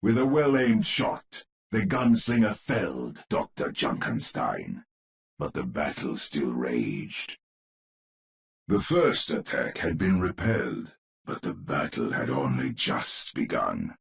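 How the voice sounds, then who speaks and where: A grave gothic storyteller with a very deep, creaky voice. He narrates a horror tale for a game with measured, theatrical menace, his pitch swooping dramatically through each phrase. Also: very animated, heavily acted. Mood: ominous, dramatic.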